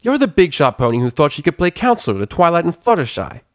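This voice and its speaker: A man's voice: fairly high pitch, sing-song, fast talker, no room sound, studio quality, pitched above the performer's own voice. A man voicing a brash, irritable young female pegasus pony from a cartoon story, with a cracking voice and slangy, blustering delivery. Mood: cocky, scolding. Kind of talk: animated character voice in a story reading.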